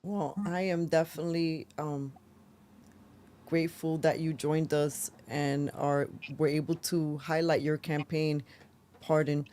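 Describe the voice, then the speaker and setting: A woman hosting a casual livestream show, chatty and quick to laugh, trailing into fillers as she talks. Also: deep voice, flat delivery, mellow. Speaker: podcast host.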